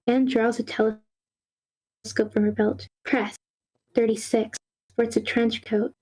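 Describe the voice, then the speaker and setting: A woman's voice: fairly high pitch, little pitch movement, a little slow, a little mellow, slightly rough, low-quality recording. A woman reading screenplay action lines aloud as the narrator of a live table read.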